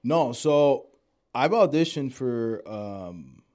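A man, an actor, chatting as a podcast guest in a relaxed, rambling way. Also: medium pitch, slow pace. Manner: laid-back, rambling, many fillers. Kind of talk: casual chat.

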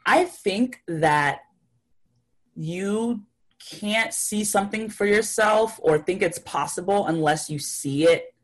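A young woman guest speaks in a relaxed, reflective way, her sentences full of small fillers. Her voice sits in a middle pitch. She grew up abroad, born in Haiti. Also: takes it slowly. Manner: thoughtful, many fillers. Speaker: guest artist. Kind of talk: casual interview talk.

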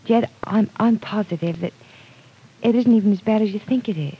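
A woman's voice: mid-range voice, a little fast, breathy edge, dull audio. An actress playing an earnest, stubbornly loyal young woman in an old-time radio soap opera. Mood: earnest, defensive.

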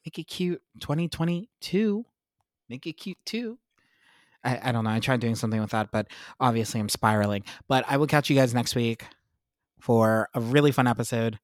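Young man, podcast host, congested, chatty, self-mocking, mid pitch, dry close sound. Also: animated, normal speed, dry recording. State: congested, clearing throat. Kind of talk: casual solo podcast chat.

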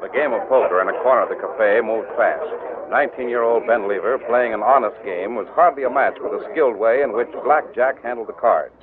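A man narrating a western radio drama in a measured storytelling manner. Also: medium pitch, crowd noise. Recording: tinny noisy old radio broadcast.

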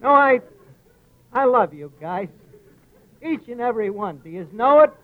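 Folksy New York barroom wiseguy, sentimental and ungrammatical, very animated, old radio comedy on a tinny recording. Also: male voice, extremely high pitch, moderate pace. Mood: sentimental, grateful. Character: Archie, folksy wisecracking tavern man, mangles grammar, sentimental. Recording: muffled lo-fi vintage radio recording.

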